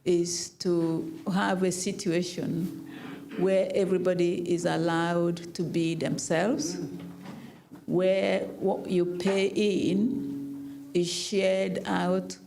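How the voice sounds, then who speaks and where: Very slow, creaky older woman. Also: on the low side, steady tone, over music. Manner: halting, deliberate phrasing. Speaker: community activist. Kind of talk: personal statement to interviewer.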